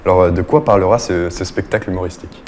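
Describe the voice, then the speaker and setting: Male radio interviewer, slightly low register, presenting events and handing over with questions. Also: steady tone, quick pace, a little mellow, a little hiss or hum.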